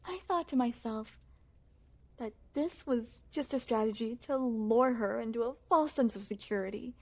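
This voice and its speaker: A woman's voice: fairly high pitch, moderate pace, darkish tone. A woman playing a refined young crown princess in an ASMR roleplay, speaking formally and with coy poise straight to the listener. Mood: curious, coy. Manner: formal, poised, genteel storytelling with coy amusement.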